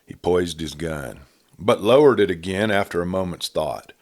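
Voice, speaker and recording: A man reading a Western novel aloud as a storyteller, with a measured, steady delivery. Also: low-pitched. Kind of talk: audiobook narration of a Western.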